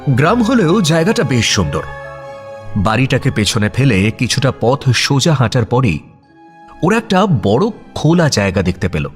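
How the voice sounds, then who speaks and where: A man's voice: medium pitch, very animated, moderate pace, faint music behind. A man narrating a scripted audio story in a dramatic storytelling style.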